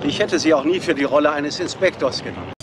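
Ein Schauspieler, der einen jungen, zynischen Filmstudio-Chef spricht, knapp und sarkastisch. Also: male voice, fairly high pitch, over loud music, lightly acted. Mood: sardonic. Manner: brisk, sardonic, businesslike. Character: young, cynical film studio boss.

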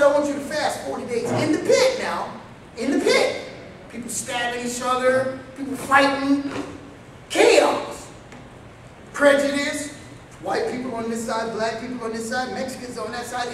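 High-voiced animated preacher, echoey room. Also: male voice, moderate pace, far from the mic, background noise. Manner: animated, acts out quotes, gestures widely while pacing. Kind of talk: storytelling sermon. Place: small indoor meeting room. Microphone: far camera.

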